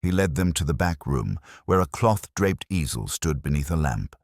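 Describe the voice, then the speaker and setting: A man narrating a scripted detective story in a measured, literary, atmospheric manner. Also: a bass voice, big pitch swings, no echo, clean studio sound.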